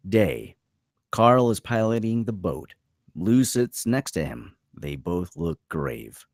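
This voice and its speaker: A man's voice: deep voice, a little mellow, no room sound, studio quality. A man reading stage directions as scripted narration at a screenplay table read.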